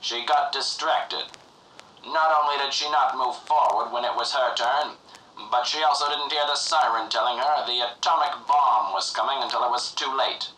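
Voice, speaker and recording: A man's voice: medium pitch, steady tone, moderate pace, sharp tone, roomy sound, far from the mic, slight background noise, poor audio. A man narrating in the style of a 1950s educational filmstrip, with an earnest, pompous, moralizing announcer delivery. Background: music.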